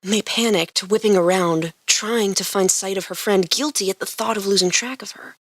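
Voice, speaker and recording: A woman's voice: medium pitch, normal speed, a bit bright, dry recording. A woman reading scripted fiction aloud as the narrator of a story.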